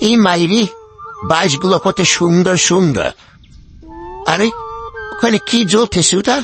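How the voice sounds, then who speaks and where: A man's voice: sits high, expressive, unhurried, faint music behind. A man voicing a henpecked, lazy older fox husband in a cartoon, wheedling and full of exclamations. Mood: muttering, surprised. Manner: wheedling, exclamatory, colloquial village talk. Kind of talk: cartoon story dialogue.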